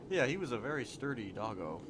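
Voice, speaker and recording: A man's voice: mid-range voice, big pitch swings, moderate pace. A man chatting casually in improvised role-play, in a hesitant, rambling way. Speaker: streamer role-playing a character. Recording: loud car and ambient noise behind.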